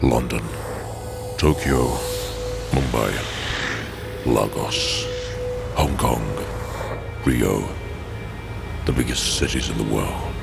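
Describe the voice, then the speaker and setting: A man's voice: a bass voice, slow pace, creaky, pauses often, full character voice. A man playing an ancient, all-knowing cosmic entity in a sci-fi audio drama, stern and scornful, with a grandiose, mocking delivery. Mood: grave. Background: music.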